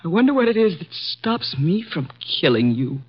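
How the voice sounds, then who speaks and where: A woman's voice: fairly low pitch, big pitch swings, normal speed, breathy edge. An actress playing a distraught, hesitant female secretary in a 1950s radio drama. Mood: hurt. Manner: pleading, hesitant, emotional. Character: distraught young female secretary, tearful and defensive. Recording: dull old radio broadcast.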